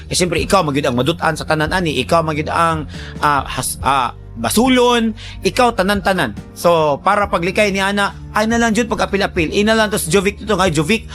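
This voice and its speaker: A man's voice: high voice, expressive, fairly quick, fairly bright tone, faint music behind. A man hosting a radio advice programme, talking conversationally in an emphatic, repetitive, colloquial way. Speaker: radio host giving advice to listeners.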